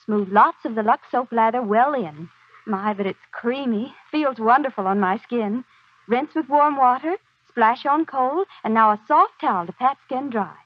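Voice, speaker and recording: An actress playing a chirpy young housewife musing to herself in a 1940s radio advertisement, perky and confiding. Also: female voice, on the high side, lively intonation, moderate pace, no room sound. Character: chirpy, self-appraising young housewife at her mirror. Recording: dull, dry 1940s radio broadcast.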